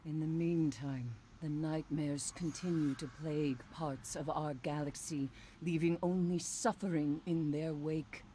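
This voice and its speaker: An actress playing a grave, haunted warrior-scholar in a video game cutscene, deliberate, foreboding and resolute. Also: female voice, low-pitched, fairly even intonation, dark tone, a little hiss or hum. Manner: grave, deliberate, dropping toward whispers.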